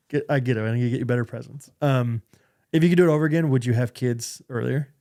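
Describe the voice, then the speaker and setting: An easygoing man hosting a podcast, who asks questions and jokes along as he goes. His voice sits at an average pitch and he keeps the tone light. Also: fast talker, vocal fry, dark tone. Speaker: podcast host. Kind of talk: casual chat.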